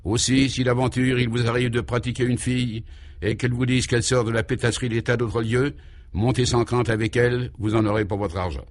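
A man's voice: medium pitch, level tone, fairly quick, natural voice. A man voicing a grand, nostalgic woman courtesan for a radio serial, theatrical, genteel and self-important. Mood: proud, dignified. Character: grand, nostalgic middle-aged courtesan, proud madam.

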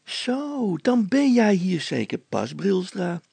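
A man's voice: sits high, big pitch swings, takes it slowly, gravelly, darkish tone, slightly rough, dry recording, pitched above the performer's own voice, exaggerated voice. A man voicing a cocky young poacher boy in a story, cheeky, stubborn and boastful, with colloquial speech. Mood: boastful, confiding.

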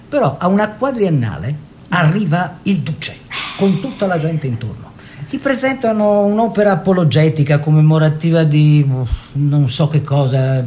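An actor playing a self-satisfied, worldly art-collector husband who tells stories with wry emphasis in a radio play. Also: male voice, fairly high pitch, a little mellow. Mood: animated storytelling. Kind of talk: theatrical radio storytelling in dialogue.

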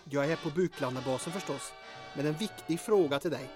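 A man's voice: a little high, close to an everyday voice. An actor playing an impatient, teasing male caller with a dry manner in an audio drama, music behind him. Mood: insistent. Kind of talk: comic audio drama dialogue. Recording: music under the voice.

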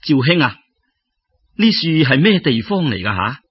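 A man's voice: mid-range voice, big pitch swings, normal speed, husky, dry recording, lo-fi sound. A man voicing a shy, honest young scholar in an audio story, humble and courteous in his speech. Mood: curious.

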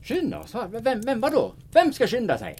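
A man's voice: extremely high pitch, wildly swooping pitch, full character voice. Someone playing a cranky old Finnish barkeeper in a hobby audio drama, his voice leaping up and down as he fusses and grumbles. A faint hiss sits under the recording. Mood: startled. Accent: Finnish Swedish. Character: grumpy old Finnish man, flask-swigging barkeeper.

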